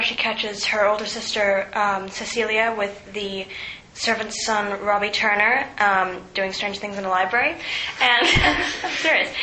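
A woman's voice: mid-range voice, pushed and bright. A teenage girl talking casually and off the top of her head about books. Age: teen. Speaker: teen book reviewer. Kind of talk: off-the-cuff casual talk. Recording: echoey room, faint noise.

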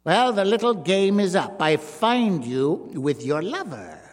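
A man reading a story aloud, voicing a cold, vengeful circus dwarf who speaks grimly and deliberately. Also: high voice, very animated, voice pushed up. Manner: grim, measured. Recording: long hall reverb.

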